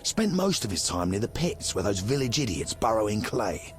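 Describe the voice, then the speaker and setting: A man's voice: lowish voice, sing-song, moderate pace, soft background music. An actor playing a friendly, eccentric old village man in a video game, chatty and folksy. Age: older.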